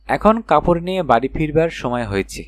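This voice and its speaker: A man voicing a kindly, teasing cat queen from a fairy tale, reassuring and gently questioning. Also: a little high, very animated, a little fast, no echo, clean studio sound. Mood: gentle, instructing.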